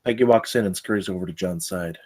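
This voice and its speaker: A man's voice: low voice, quick pace, darkish tone. A man reading stage directions as the narrator of a screenplay table read.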